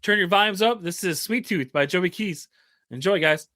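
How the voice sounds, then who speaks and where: A man hosting a podcast, easygoing and quick to laugh as he introduces a track. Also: high voice, noisy background. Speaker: podcast host. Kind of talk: casual interview chat.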